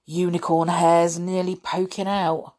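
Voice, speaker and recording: A woman's voice: lowish voice, little pitch movement, measured pace, a little mellow. A woman voicing a blunt, chatty young wizard boy.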